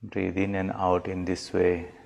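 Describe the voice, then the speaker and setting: Male meditation guide: low, unhurried, calm and measured spiritual instruction. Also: creaky. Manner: calm, measured, deliberate phrasing. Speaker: swami leading guided meditation.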